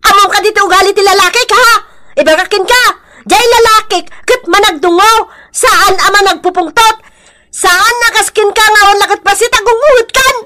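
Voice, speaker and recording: An actress playing an aggrieved, hot-tempered wife and mother in a radio drama, wailing and high-strung, with an Ilocano accent. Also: normal speed, sharp tone. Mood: tearful, angry.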